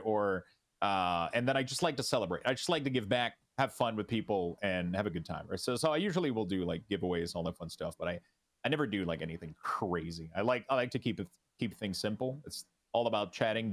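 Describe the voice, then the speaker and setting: A man with a French Canadian accent, French his first language, chatting casually as a streamer guest in an interview, relaxed and halting with many restarts. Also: on the low side.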